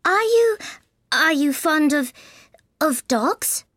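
A man's voice: very high voice, sing-song, takes it slowly, pushed and bright, no echo, clean studio sound, voice pushed up, full character voice. A man voicing a polite, eager young storybook girl who chatters in a gushing, apologetic way. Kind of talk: storybook character reading.

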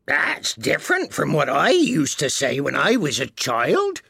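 A man reading a storybook aloud, voicing an impatient, bossy griffin with a gravelly voice. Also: a little high, huge pitch swings, measured pace, pushed and bright, no room sound, studio quality, voice pushed up, full character voice.

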